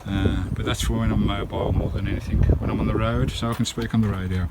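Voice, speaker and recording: A man's voice: lowish voice, little pitch movement, fast. A British man narrating a casual hands-on vlog outdoors, chatting informally to his viewers. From United Kingdom. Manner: chatty, informal, often addressing viewers as guys. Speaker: vlogger. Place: outdoors on and around a campervan. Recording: windy handheld recording with loud background noise. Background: wind and loud noise.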